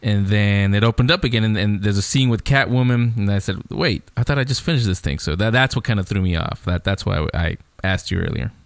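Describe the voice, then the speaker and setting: A man's voice: fairly low pitch, brisk, hardly any pauses, no echo. A man hosting a radio show in casual, chatty conversation.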